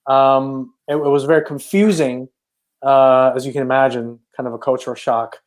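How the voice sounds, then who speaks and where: A man's voice: medium pitch, fairly even intonation, normal speed. A man from China, a native Chinese speaker, telling his life story in a casual podcast interview, thoughtful and full of restarts. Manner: reflective, hesitant, many restarts. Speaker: podcast guest, filmmaker.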